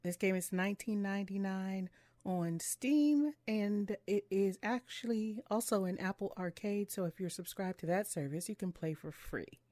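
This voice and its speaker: A woman's voice: medium pitch, normal speed, dark tone, dry recording. A woman giving casual let's-play commentary and reading game text aloud in her own voice, with music behind her. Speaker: game streamer reading visual novel dialogue aloud.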